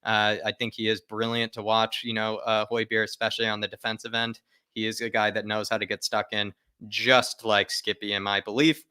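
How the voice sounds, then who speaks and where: A man's voice: on the low side, level tone, normal speed, bright, forceful tone, smooth, dry recording. A man hosting a football fan livestream, chatting casually and emphatically to viewers, often repeating his phrases for stress. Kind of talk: casual live fan chat, reading viewer comments aloud.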